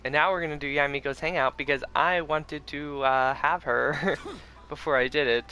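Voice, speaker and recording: A man's voice: a little high, steady tone, moderate pace, pushed and bright. A man giving casual commentary as he streams a video game.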